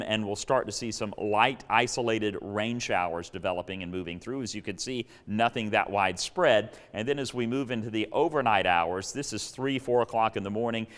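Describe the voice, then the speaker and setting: A man with a smooth, resonant voice on the low side delivers a weather forecast. He explains things conversationally, without sounding read. The sound carries a long reverb. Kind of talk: unscripted weather forecast presentation.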